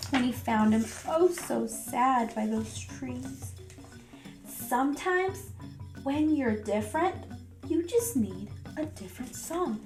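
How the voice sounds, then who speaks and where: High, animated woman reading to children. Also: echoey room. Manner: animated, addressing young children. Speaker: teacher reading aloud to children. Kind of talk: expressive children's storybook reading and talk.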